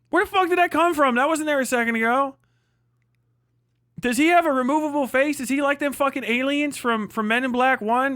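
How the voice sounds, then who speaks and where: A man's voice: extremely high pitch, fairly bright tone, no room sound, studio quality. A man livestreaming mocking, profanity-heavy reaction commentary as host, in a crude, exclamatory riffing manner. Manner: often breaks into laughter at own riffs.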